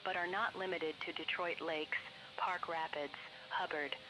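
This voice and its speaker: A man's voice: a little high, steady tone, unhurried. A man's voice, like text-to-speech, reading a scripted emergency weather bulletin over a noisy radio. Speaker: weather radio warning announcer.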